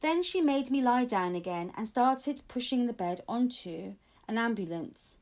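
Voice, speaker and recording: Female audiobook reader for children's fiction; high, animated delivery, expressive first-person storytelling. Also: unhurried.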